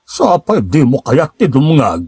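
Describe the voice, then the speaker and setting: A man's voice: fairly high pitch, wildly swooping pitch, normal speed, a little mellow, husky, no echo, clean studio sound, full character voice. A man acting an earnest, talkative and insistent nephew in an Ilocano drama. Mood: agitated, pleading.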